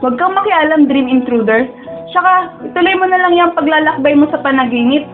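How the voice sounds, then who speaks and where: A woman's voice: sits high, fairly quick, lightly acted. A young woman with a Filipino accent playing a dreamy teenage schoolgirl who prefers dreams to reality, in radio drama dialogue and first-person narration. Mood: defiant. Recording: tinny narrow-band audio with music behind.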